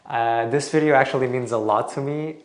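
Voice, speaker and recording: A man from Portugal calmly explaining video composition as a tutor, with some fillers and an encouraging tone. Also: medium pitch, brisk. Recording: roomy echo.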